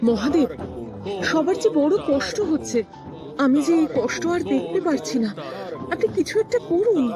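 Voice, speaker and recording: A woman plays a cartoon goddess wife, caring and a little bossy. Her voice is very high and swings expressively. Music is clearly heard behind her. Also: animated, moderate pace. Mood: pleading. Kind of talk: comic cartoon voice acting.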